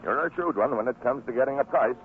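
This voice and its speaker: A man's voice: medium pitch, fast talker, phone-quality sound, close to an everyday voice. A man playing a garrulous, placating fish merchant who haggles hesitantly, in an old radio drama style. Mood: haggling. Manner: hesitant, muttering, apologetic. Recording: thin, band-limited old radio recording, faint noise.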